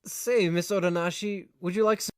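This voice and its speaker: A man's voice: high-pitched, fairly even intonation, moderate pace, clear, resonant tone, dry recording, clean studio sound, lightly acted. A man playing an earnest, put-upon young tenant in an amateur audio drama, with a hesitant manner. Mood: sheepish. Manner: hesitant, stammering when flustered.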